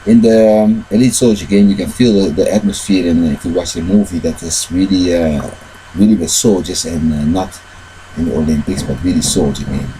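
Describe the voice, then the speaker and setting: A man telling a long, rambling personal story in halting English, with many fillers and loose grammar. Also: low-pitched, fairly even intonation, dark tone, slight background noise, low-quality recording. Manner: retells events with shifts into quoted dialogue.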